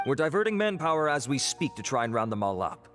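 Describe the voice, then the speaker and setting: Polite, measured investigator ally for a game, mid-range, composed, explanatory. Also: male voice, mid-range voice, very animated, fairly bright tone, soft background music. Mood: brisk, wry. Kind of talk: video game voice acting.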